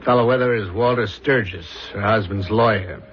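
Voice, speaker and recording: An actor playing a dogged, brooding police inspector with a deliberate, probing manner, in 1970s radio drama style. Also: male voice, on the low side, lightly acted. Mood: musing, suspicious. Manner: deliberate, probing, clipped questions. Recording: dull lo-fi radio drama recording.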